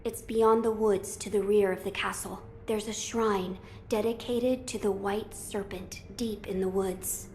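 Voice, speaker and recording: A woman voicing a grave, bookish young noble child lord in a video game cutscene, speaking in formal, measured, earnest phrases. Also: mid-range voice, steady tone, darkish tone, slight background noise, lightly acted. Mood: explanatory, calm.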